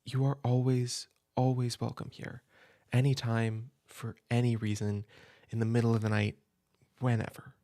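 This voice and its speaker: A man playing a caring older brother who shelters a younger sibling, soft-spoken and reassuring, sometimes dropping to a whisper, in a comfort audio roleplay. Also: medium pitch, level tone, normal speed, close to an everyday voice. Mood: alarmed, welcoming. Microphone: home desk mic.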